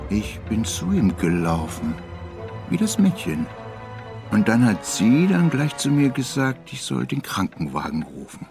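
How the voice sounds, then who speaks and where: An actor playing a shaken male eyewitness in a German radio drama, halting and subdued as he recounts what he saw. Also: medium pitch, huge pitch swings, creaky, over music, full character voice. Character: shaken male eyewitness giving a hesitant account.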